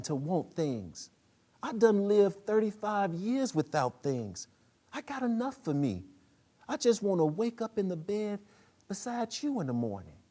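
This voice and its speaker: A man's voice: high-pitched, dark tone, slightly rough, voice pushed up, full character voice. A man voicing an earnest, pleading 35-year-old woman in a dramatic play reading, with emphatic vernacular phrasing.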